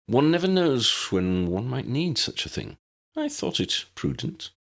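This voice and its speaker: A man voicing an erudite, courteous, cautious gentleman adventurer who speaks in formal, precise phrases. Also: medium pitch, very animated, vocal fry, voice pushed up, full character voice. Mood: composed, helpful. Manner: formal, measured, precise wording.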